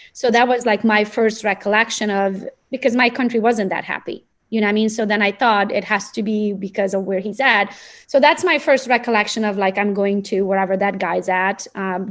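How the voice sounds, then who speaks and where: A woman in her thirties with a Romanian accent, telling personal stories in a casual, chatty, animated way as a podcast guest. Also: medium pitch. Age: 30s. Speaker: podcast guest, real estate agent and coach.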